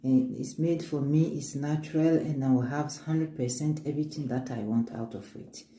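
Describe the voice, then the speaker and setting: Woman vlogging her homemade stuff, low mellow voice, echoey room. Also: steady tone, normal speed. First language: African language. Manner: earnest, upbeat, speaks of faith, many restarts. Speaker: vlogger showing homemade oils. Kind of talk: casual show-and-tell, switching between English and French. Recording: echoey room with faint ambient noise.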